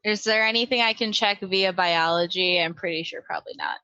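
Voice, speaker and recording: A woman's voice: mid-range voice, a little fast, bright, forceful tone, smooth, dry recording, highs cut off. A woman chatting casually as a player in a tabletop game.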